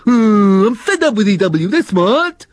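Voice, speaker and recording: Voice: mid-range voice, very animated, a little slow, dry recording, studio quality, voice pushed up. A storyteller voicing a fed-up male slug, grumbling and cross. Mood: grumpy. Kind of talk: storybook reading.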